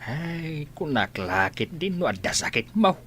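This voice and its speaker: An actor playing a concerned, reassuring elderly husband in an Ilocano radio drama. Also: male voice, fairly high pitch, very animated, measured pace, a bit bright, a little hiss or hum.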